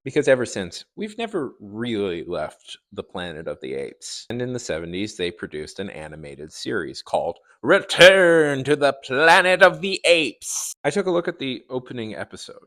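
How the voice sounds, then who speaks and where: A man giving a conversational, opinionated review in a wry, animated manner. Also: medium pitch. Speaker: video reviewer. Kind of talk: conversational review commentary to viewers.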